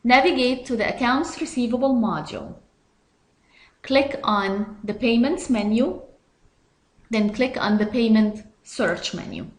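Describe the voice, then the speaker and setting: A woman reading scripted step-by-step software instructions in a measured, instructional way. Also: a little high, roomy sound. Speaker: software tutorial narrator.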